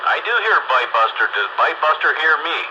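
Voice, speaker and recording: A man with a halting, plain way of talking, chatting casually over amateur radio. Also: on the high side, expressive. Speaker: amateur radio operator on a group net. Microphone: two-way radio microphone. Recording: muffled, noisy amateur radio transmission.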